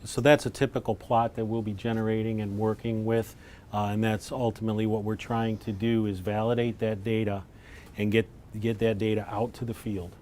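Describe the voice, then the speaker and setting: Lower-voiced man briefing visitors in noisy room. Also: flat delivery, a little fast, darkish tone. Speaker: lab lead presenting to visitors. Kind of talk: informal technical briefing. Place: laboratory tour, indoors. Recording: noisy room with low rumble.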